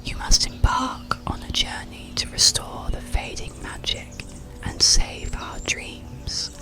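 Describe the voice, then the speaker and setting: A storyteller voicing a wise, gentle elderly woman dreamweaver in a soft, solemn whisper for a bedtime story. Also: slow, music in the background, lightly acted. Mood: grave, gentle.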